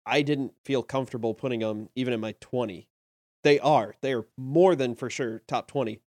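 A man's voice: medium pitch, moderate pace, darkish tone, dry recording, clean studio sound. A man co-hosting a podcast, chatting in a laid-back, wry way. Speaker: podcast co-host. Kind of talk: casual chat.

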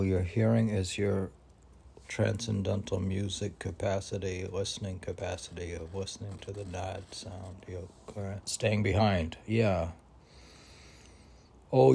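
Male poetry reader, dark mellow tone, very slow pace, musing and conversational between recitation and offhand remarks. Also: deep voice, vocal fry, slight background noise. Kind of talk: poetry reading with casual rambling asides.

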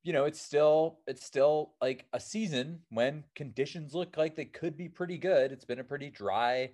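A man's voice: fairly high pitch, normal speed, a little mellow. Casting: American man, 30s, mountaineer guest, loose and rambling, plenty of "um" and "you know". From born in New Zealand, raised in Seattle. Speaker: alpine climber and filmmaker, guest. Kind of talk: casual interview answers.

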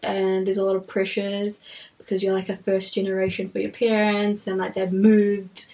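A woman's voice: medium pitch, monotone, normal speed, mellow, low-quality recording. A woman in her twenties from Ethiopia who grew up in New Zealand, telling childhood stories in a casual, giggly chat full of fillers. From Ethiopia, raised in New Zealand. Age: 20s. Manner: chatty, giggly storytelling with many fillers. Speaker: interviewee.

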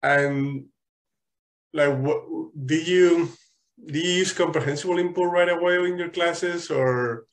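A man's voice: fairly high pitch, measured pace. A man hosting a podcast, asking questions in a loose way full of "you know" fillers. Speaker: podcast host. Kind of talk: casual interview hosting.

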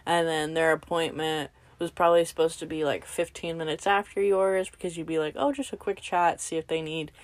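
Lower-voiced young woman, dry close sound. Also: steady tone, brisk, hardly any pauses, no room sound. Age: young adult. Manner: teasing, dry jokes. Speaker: podcast co-host. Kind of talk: casual chat.

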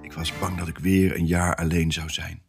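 A male actor voicing an excitable pet dog who tells his own Christmas adventure in an expressive, theatrical family audio drama style. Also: low voice, moderate pace, creaky, faint music behind. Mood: worried, wistful. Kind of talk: first-person storytelling in a family audio drama.

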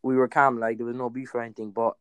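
A man's voice: mid-range voice, level tone, quick pace, clear, resonant tone, dry recording. A man in a heated casual discussion, insistent, repeating himself to keep the floor. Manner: insistent, interrupts to hold the floor.

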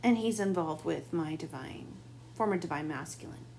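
Woman, tarot reader, slightly raspy, rambling late-night monologue, faint hum behind. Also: low voice, moderate pace, a little mellow. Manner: rambling, confiding.

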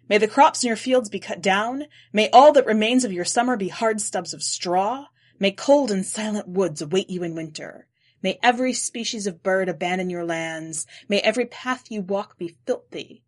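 A woman's voice: medium pitch, lightly acted. A woman voicing a bitter, weary wanderer in a story, with declamatory, incantatory delivery. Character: bitter, weary wanderer hurling solemn curses.

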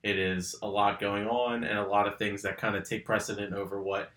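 Young guy, hesitant with a ton of ums, lowish bright voice, roomy echo. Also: flat delivery, fairly quick. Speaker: podcast co-host. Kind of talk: casual true crime podcast chat.

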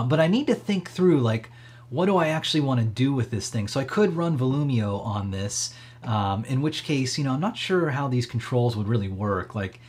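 A man with a slightly husky voice hosting a casual live tech demo, thinking aloud in a rambling, conversational way. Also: mid-range voice, a little mellow. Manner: conversational, rambling, frequent hedging asides. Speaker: livestream host demonstrating electronics projects.

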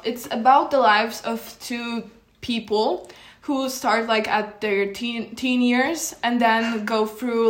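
A woman's voice: a little high, fairly bright tone. A teenage girl from Poland co-hosting a podcast, talking casually and hesitantly with many fillers and restarts. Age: teens. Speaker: podcast co-host. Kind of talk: casual chat. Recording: echoey room.

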